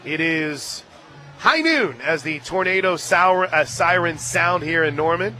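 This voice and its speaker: A man doing live radio play-by-play of softball, conversational between pitches and excited on the action. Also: measured pace. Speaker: play-by-play announcer. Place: radio booth at an outdoor ballpark. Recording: crowd and stadium music behind. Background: crowd, loudspeaker music.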